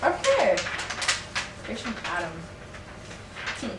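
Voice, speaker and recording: A young woman from Canada plays interviewer, asking halting questions and tossing out deadpan teasing jabs. She sits far from the mic in an echoey room, with clear background noise. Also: on the high side. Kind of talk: amateur mock interview.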